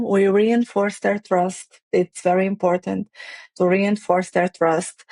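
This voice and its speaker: Woman, English, hesitant, restarts, mid pitch, dry sound. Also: darkish tone, dry recording. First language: Portuguese. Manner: hesitant, many restarts and fillers, non-native phrasing. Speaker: guest, playwright and facilitator. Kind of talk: conversational interview.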